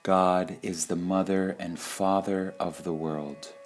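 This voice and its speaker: Slow, deep-voiced man reading spiritual sayings. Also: little pitch movement. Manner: measured, deliberate, with pauses between short phrases. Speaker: reader of spiritual quotations. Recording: music under the voice. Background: background music.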